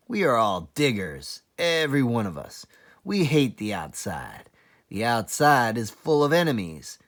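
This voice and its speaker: Guy doing a grinning fox boss from a kids book, big theatrical speech, really lively up and down voice. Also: mid-range voice, pitched above the performer's own voice. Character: fantastic Mr Fox, grinning, rousing male fox leader. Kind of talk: children's storybook read-aloud.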